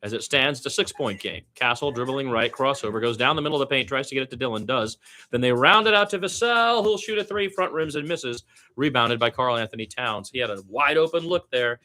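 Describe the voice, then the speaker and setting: A man calling basketball as a partisan radio play-by-play announcer, blunt and opinionated, animated in his delivery and repeating phrases for emphasis. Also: fairly quick. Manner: animated, swinging from low asides to loud high calls.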